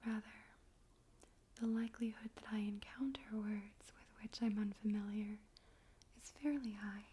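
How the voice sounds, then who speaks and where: A woman speaking in a soft, breathy whisper, gently and carefully, in an ASMR style for poetry reading. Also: medium pitch, steady tone, normal speed, slight background noise. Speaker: ASMR host and poetry reader. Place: room in a home in daytime.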